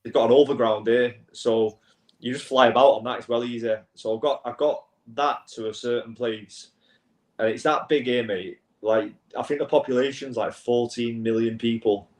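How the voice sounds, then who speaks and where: A man's voice: mid-range voice, fairly even intonation, normal speed, a little mellow, roomy sound. A man of twenty-nine, talking laid-back and sweary as a guest on a call from his apartment abroad. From England. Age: 20s. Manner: laid-back, swearing, says mate a lot. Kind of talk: casual chat. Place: an apartment in Bangkok.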